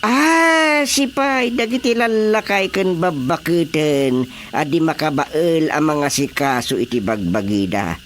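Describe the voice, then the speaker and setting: An actor playing an emphatic neighbour who airs family troubles with drawn-out delivery in a radio drama. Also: mid-range voice, fairly bright tone. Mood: lamenting. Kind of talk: Ilocano radio drama acting. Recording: faint background noise with crickets.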